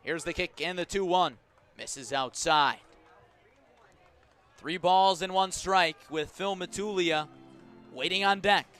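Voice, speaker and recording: A man calling a baseball game live on radio as play-by-play announcer, measured between pitches and emphatic when the action comes. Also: fairly bright tone, smooth, soft background music. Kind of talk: live sports radio commentary.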